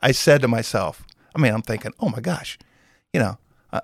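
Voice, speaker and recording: A man's voice: medium pitch, lively intonation, brisk, gravelly, dry recording, studio quality. A man, hosting a casual local radio interview in a folksy, teasing way. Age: 60s. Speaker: radio show host. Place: small radio studio.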